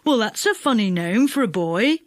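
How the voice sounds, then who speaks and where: Lady putting on a confused old guy who mishears stuff, friendly, kids story, up and down pitch. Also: very animated, normal speed, no echo, studio quality. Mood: puzzled, genial. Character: odd, hard-of-hearing old man, genial and muddled.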